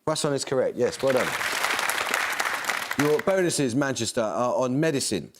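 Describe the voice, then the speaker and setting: A man hosting a television quiz show, reading questions and introductions in a crisp, measured way. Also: medium pitch, over a noisy crowd, heavy background noise. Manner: crisp, measured, lively intonation. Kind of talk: scripted quiz presenting. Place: television studio.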